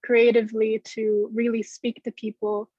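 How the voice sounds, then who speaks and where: A woman's voice: on the high side, completely flat delivery, moderate pace, mellow, no room sound. A woman in her twenties giving an earnest answer as a student in an online panel discussion from a room at home. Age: 20s. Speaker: theater student. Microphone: video call, earbuds. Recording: muffled video-call audio.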